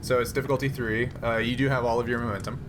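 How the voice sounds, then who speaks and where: A man casually narrating a tabletop game as game master, in a halting, hesitant manner. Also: mid-range voice, level tone, background noise.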